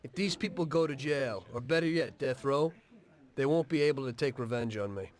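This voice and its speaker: A man's voice: mid-range voice, close to an everyday voice. An actor with an American accent playing a weary, matter-of-fact ex-mobster who tells his story in a video game. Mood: frank, guarded. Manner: measured, plain-spoken, understated. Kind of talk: dramatic video game dialogue and narration.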